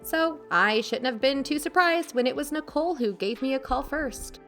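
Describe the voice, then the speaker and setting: A woman's voice: high-pitched, normal speed, a bit bright, soft background music. A woman playing a wary, protective aunt in an audio drama, confiding and dryly skeptical. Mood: wary, wry. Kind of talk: first-person audio drama narration.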